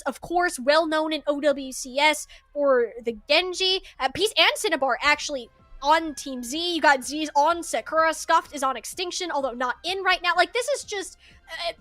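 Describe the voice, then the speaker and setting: A woman's voice: high-pitched, fairly bright tone, no echo. A woman casting esports, chatting through a match preview in a playful, emphatic way. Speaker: esports broadcast caster. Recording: music playing behind. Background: background music.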